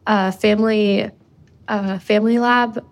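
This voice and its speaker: A woman's voice: medium pitch, fairly even intonation, unhurried. Chatty young woman from Costa Rica. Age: young adult. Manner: chatty, lots of likes and ums. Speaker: undergraduate student guest. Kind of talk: casual interview.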